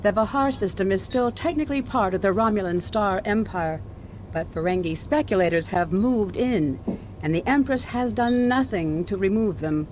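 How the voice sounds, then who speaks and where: An actress playing a composed fleet admiral in a video game, giving formal, measured mission orders. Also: female voice, mid-range voice, a little mellow, slight background noise, natural voice. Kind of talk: scripted game mission briefing.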